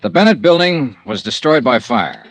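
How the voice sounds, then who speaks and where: American insurance investigator, radio detective drama. Measured, factual, precise testimony, mid-pitched, very dry sound. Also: male voice, big pitch swings, dry recording. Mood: composed, factual. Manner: measured, matter-of-fact, precise. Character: composed male freelance insurance investigator testifying in court. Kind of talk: 1950s radio drama acting.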